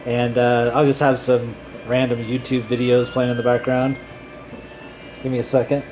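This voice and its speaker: A man's voice: medium pitch, moderate pace, rough recording. A man hosting a gaming video, chatting casually in an offhand, hesitant way. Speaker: host of a gaming video series. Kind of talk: casual gameplay vlog chat. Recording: steady room noise behind.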